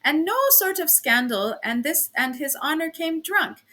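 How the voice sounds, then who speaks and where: A woman's voice: high voice, animated, unhurried, bright, forceful tone, pitched above the performer's own voice, exaggerated voice. A woman voicing a flustered, fawning German landlady with a German accent who patters out her protests. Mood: indignant.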